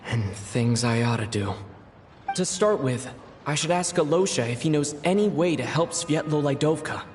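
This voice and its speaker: An actor playing a thoughtful, determined young adventurer in a video game, musing to himself in a measured way. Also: male voice, on the high side, lively intonation, soft background music, close to an everyday voice. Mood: pensive, resolved.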